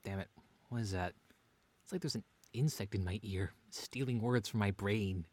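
A man's voice: lowish voice, moderate pace, natural voice. A man playing a long-suffering husband and father who banters drily in a scripted audio comedy drama. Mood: bemused. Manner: dry, deadpan, bantering.

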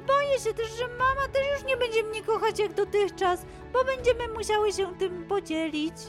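A woman voicing an energetic, jealous little girl monkey in a children's storybook, with a whiny, emphatic, childlike delivery. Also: very high voice, moderate pace, faint music behind, pitched above the performer's own voice. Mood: hurt, jealous.

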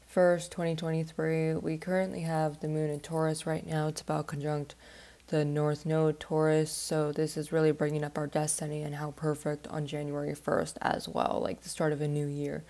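Low, stuffy-voiced woman podcaster. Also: mellow. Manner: rambling and chatty, with many "like" fillers. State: stuffed up from the flu. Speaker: podcast host and astrologer. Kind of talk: casual podcast monologue.

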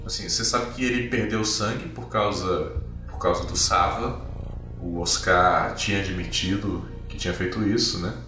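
A Brazilian man working as game master, improvising tabletop RPG narration in a halting, descriptive way with frequent tag questions. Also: low voice, fairly even intonation, sharp tone. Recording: very echoey room, distant, music behind. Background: music.

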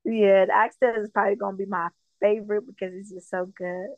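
Woman, from Jamaica, musician guest, relaxed filler-heavy talk, flat delivery, lo-fi dry sound. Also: medium pitch, brisk. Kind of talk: casual interview.

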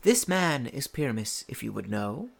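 An actor playing an earnest amateur player who declaims a pompous prologue with halting, misplaced pauses in a Shakespeare radio play. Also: mid-range voice, very animated, creaky, slightly rough, full character voice. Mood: pompous, theatrical.

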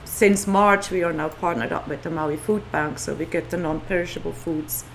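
A woman's voice: sits low, moderate pace, creaky, slight background noise, poor audio. A woman from Switzerland with a Swiss accent, telling stories earnestly in a casual interview, with restarts and small laughs. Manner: earnest storytelling with restarts and chuckles. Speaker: guest, community food organizer.

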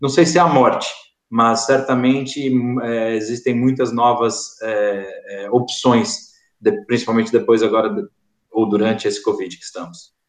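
A man's voice: lowish voice, steady tone, unhurried. Brazilian man, hesitant, echoey room. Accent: Brazilian Portuguese. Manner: hesitant, many fillers and restarts. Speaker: podcast guest. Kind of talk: casual interview chat.

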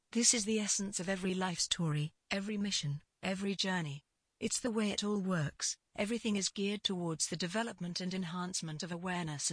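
A narrator reads a scripted spiritual advice reply in an earnest, measured and emphatic manner. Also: medium pitch, a bit bright, no room sound, clean studio sound. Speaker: spiritual advisor answering a letter.

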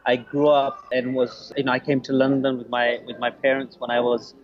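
A man in his forties from Bangladesh, a podcast guest telling his story in a relaxed, hesitant way. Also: mid-range voice, moderate pace, slight background noise. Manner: hesitant, restarts, many "you know"s. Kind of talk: casual interview answers. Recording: lo-fi muffled sound.

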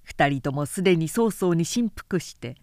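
A woman reading a historical novel as a scripted audiobook, with measured, dramatic storytelling. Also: sits low, animated, darkish tone, no echo, studio quality. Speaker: narrator, literary reader.

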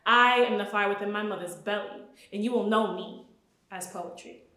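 A woman's voice: medium pitch, very noisy. Echoey spoken word poet, a woman. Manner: deliberate, expressive delivery. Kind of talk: spoken word poetry performance. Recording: roomy echo.

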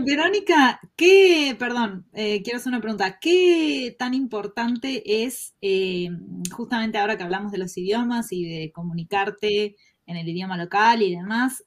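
A woman with a Rioplatense accent hosting a podcast interview over a video call, using vos and chiming in with quick agreement. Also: mid-range voice. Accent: Rioplatense Spanish. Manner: voseo, warm affirming interjections. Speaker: podcast host.

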